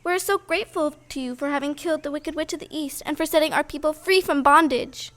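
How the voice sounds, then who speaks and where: A woman's voice: high-pitched, quick pace, a bit bright. A woman playing a small, reverent Munchkin in an audio play, speaking in a formal, declamatory way. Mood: reverent gratitude. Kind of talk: stage-style audio play acting.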